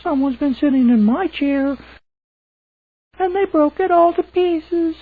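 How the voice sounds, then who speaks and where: Very squeaky, whiny baby bear. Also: male voice, unhurried, no echo. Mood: upset. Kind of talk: storybook character voice. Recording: phone-like, narrow band sound.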